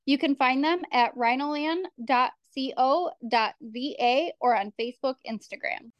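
Host, woman with a high voice, dry close sound, reads sponsor spots from a script. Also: measured pace, no room sound, lo-fi sound. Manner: scripted, ad-read delivery. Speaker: podcast host. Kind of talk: reading sponsor announcements.

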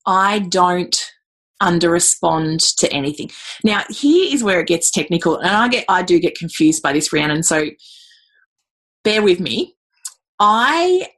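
A middle-aged woman answering interview questions in a thoughtful way, with many ums and repeated words. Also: lowish voice. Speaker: guest.

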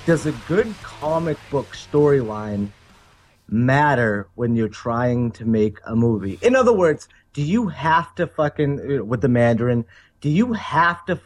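A man's voice: medium pitch, lively intonation, dry recording. A man co-hosting a podcast, ranting bluntly about movies and swearing freely. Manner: blunt, profane, fast rants. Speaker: podcast co-host. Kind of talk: casual chat.

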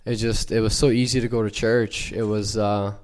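A young man from Ukraine with no accent, giving a halting, earnest personal church testimony full of fillers and restarts. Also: on the low side, level tone, darkish tone, clear, resonant tone.

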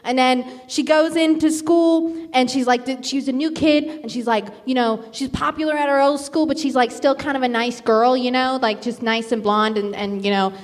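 High-voiced young woman in a reverberant hall. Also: steady tone, moderate pace, few pauses. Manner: rambling, conversational, peppered with "like" and "you know". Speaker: game show contestant. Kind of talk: improvised comic movie pitch, casual chat. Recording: echoey hall with long reverb.

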